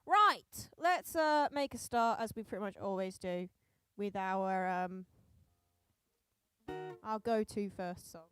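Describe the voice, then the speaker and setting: A woman's voice: mid-range voice, takes it slowly, a bit bright, clean tone, dry recording, soft background music. A woman musician chatting casually on her live stream, fussing over her tuning and the stream setup. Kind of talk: casual chat between songs.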